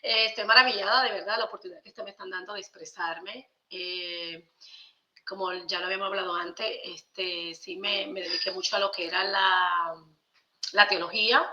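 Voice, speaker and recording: A woman with a Dominican accent speaks in a bright, forceful voice. She explains ideas conversationally and emphatically, like a guest on a podcast. The sound is roomy and a little muffled. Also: mid-range voice, fairly even intonation, moderate pace. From Dominican Republic. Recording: roomy echo, slightly dull sound.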